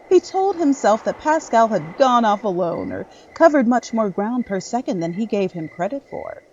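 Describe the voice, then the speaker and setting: A woman narrates a dark audio drama with expressive, swooping intonation. The sound is slightly muffled, with faint noise behind her. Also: fairly high pitch. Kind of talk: dramatic scripted horror-story narration.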